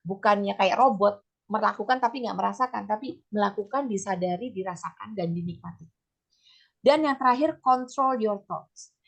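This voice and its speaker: A middle-aged woman with a slightly hoarse voice, giving an informal teachers' workshop talk in a chatty, step-by-step way sprinkled with English terms. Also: mid-range voice, fairly quick. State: slightly hoarse, voice not back to normal. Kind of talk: informal training talk with personal examples.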